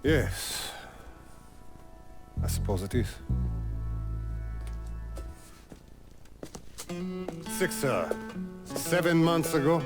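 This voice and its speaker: An actor playing a stern, proud older father with a deliberate, authoritative manner in a video game cutscene. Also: mid-range voice, close to an everyday voice. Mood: grave, reluctant.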